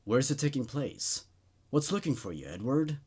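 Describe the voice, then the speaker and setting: Man reading as a measured, probing psychiatrist. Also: mid-range voice, very animated, mellow, slightly rough. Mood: clinical, inquiring. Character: probing, attentive male psychiatrist taking notes. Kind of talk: horror story reading.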